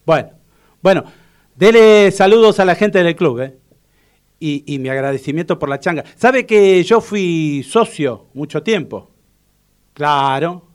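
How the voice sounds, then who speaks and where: A man plays a proud older Argentine home cook in a comic radio drama. His voice sits a little high and swings widely up and down. He repeats himself and fusses with theatrical self-importance. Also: expressive, a little slow, clear, resonant tone. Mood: chatty, awkward. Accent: Argentine Rioplatense.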